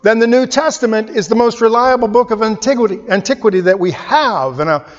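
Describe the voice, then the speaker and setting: A man delivers an emphatic sermon, stressing his points through repetition and rhetorical questions. His voice sits high for a man. The recording is muffled and lo-fi, with a long reverb trailing off the walls of a hall. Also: a little fast. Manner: emphatic, rhetorical questions, repeats key phrases. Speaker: pastor.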